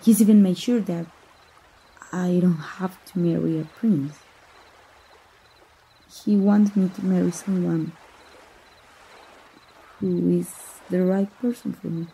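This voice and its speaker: Casting: female voice actor as a romantic, adventurous young princess for ASMR roleplay. Mellow, dark tone, very airy and soft, earnest. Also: fairly low pitch, fairly even intonation, slow, pauses often, heavily acted, low-quality recording. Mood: shy, tender. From Mexico. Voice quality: soft, breathy. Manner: hesitant, tender, earnest. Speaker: amateur voice actor.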